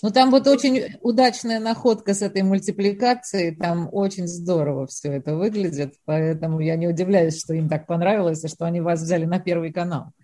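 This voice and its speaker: A woman's voice: fairly low pitch, fairly even intonation, fairly quick, few pauses, mellow. A woman interviewing a guest, speaking appreciatively and asking follow-up questions in a friendly chat. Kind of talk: conversational interview.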